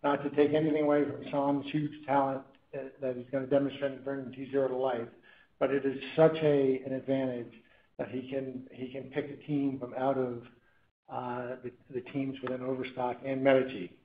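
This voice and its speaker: An American man from New England commenting off the cuff as an executive on an earnings call, halting and often restarting his sentences. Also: mid-range voice, little pitch movement, slight background noise. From New England, United States. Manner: halting, self-correcting, with repeated restarts. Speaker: company chief executive. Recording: tinny phone line with room echo.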